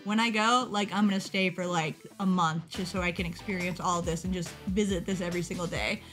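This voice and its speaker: A young woman from the United States vlogging about a model kit event, excitable and rambling, interrupting herself and laughing, a little tired. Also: on the low side, brisk, faint music behind. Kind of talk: casual chat over a webpage.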